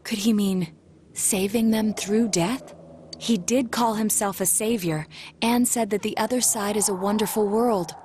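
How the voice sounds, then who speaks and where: A woman's voice: mid-range voice, fairly even intonation, a little mellow, slight background noise, lightly acted. Composed, formal young detective played by a woman. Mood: pondering. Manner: formal, precise, measured. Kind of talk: video game English dub acting.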